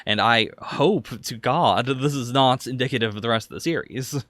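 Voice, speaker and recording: A man's voice: mid-range voice, moderate pace, a bit bright, no echo, clean studio sound. A man co-hosting a podcast, talking casually and conversationally in a review discussion. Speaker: podcast co-host.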